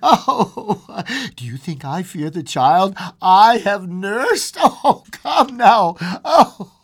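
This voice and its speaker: A woman's voice: a bass voice, lively intonation, a little slow, dark tone. A woman voicing a grief-crazed old mother in a story, frantic and pleading, with sobbing, panting cries. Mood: desperate pleading.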